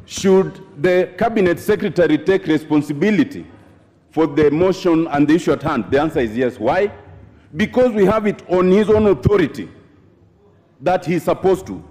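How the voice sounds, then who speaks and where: A man's voice: sits high, darkish tone, big-room sound. A middle-aged man giving a debate speech in parliament, posing questions and answering them himself. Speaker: member of parliament. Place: large parliament chamber.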